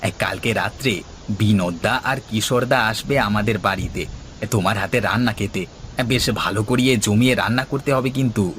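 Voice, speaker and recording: A man's voice: fairly low pitch, moderate pace, fairly bright tone, slight background noise, close to an everyday voice. A man voicing a friendly village trader in cartoon dialogue. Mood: easygoing, fond. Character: village men, friendly married trader and his mates.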